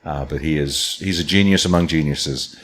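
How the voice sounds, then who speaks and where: A deep-voiced man from Scotland chats easily as a podcast guest. He wanders through his thoughts with small restarts. A faint hum of room noise sits under his voice. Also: normal speed. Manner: relaxed, rambling with restarts. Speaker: distillery founder, guest. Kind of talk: casual podcast conversation.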